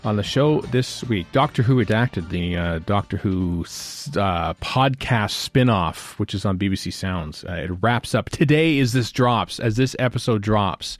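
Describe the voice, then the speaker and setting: A man from Western Canada with a Canadian accent, hosting a podcast in a rambling, halting, jokey style of casual chat. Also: on the low side, expressive, faint music behind. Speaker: podcast host.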